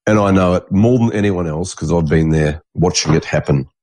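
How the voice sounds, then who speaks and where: A man's voice: low-pitched, normal speed, darkish tone, no room sound. A man, congested, rambling through a solo podcast monologue and restarting his sentences. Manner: rambling, swears, trails off and restarts. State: congested, stuffy nose. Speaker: podcast host.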